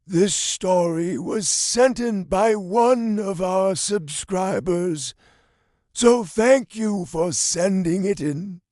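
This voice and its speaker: A man reading a viewer's horror story aloud as the channel's narrator, in a slow, drawn-out creepy manner. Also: high-pitched, a little mellow, no echo, clean studio sound.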